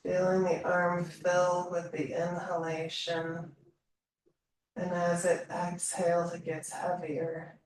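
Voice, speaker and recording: Echoey, slow-paced woman guiding meditation. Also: lowish voice. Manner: calm, soothing, long pauses between phrases. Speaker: guided meditation teacher. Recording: roomy echo, distant mic, faint noise.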